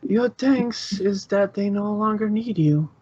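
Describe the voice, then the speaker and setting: A woman's voice: medium pitch, measured pace, warm tone, breathy edge, no room sound, pitched above the performer's own voice. Casting: a woman as imperious night princess pony, blunt and declamatory, storybook character, dry slightly muffled recording. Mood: stern, regal. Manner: formal, declamatory, brusque.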